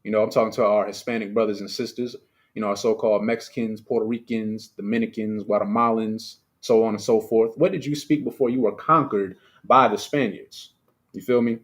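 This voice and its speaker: A man's voice: on the low side, steady tone, brisk. Emphatic man preaching a streamed sermon. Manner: conversational and emphatic, with rhetorical questions; loose and chatty, with many fillers and asides. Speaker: preacher leading a livestreamed service.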